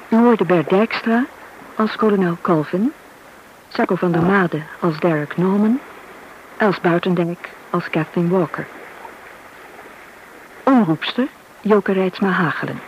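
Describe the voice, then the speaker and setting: A woman announcer reading scripted closing credits in a formal radio style. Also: lowish voice. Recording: slightly noisy, dull old radio recording.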